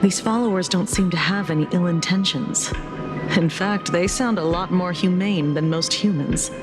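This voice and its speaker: A woman playing a rational, detached investigator in a scripted video game, speaking in a measured, dry way. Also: lowish voice, natural voice. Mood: detached, reasoning. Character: cool, rational female investigator, detached and legalistic. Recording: studio voice under loud game music. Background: loud game music.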